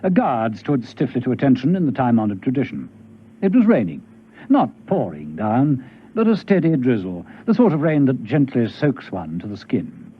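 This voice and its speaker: An actor narrating a 1970s radio spy serial in a grave, dramatic style. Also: male voice, mid-range voice, sing-song, normal speed, husky. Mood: suspenseful. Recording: dull vintage radio recording.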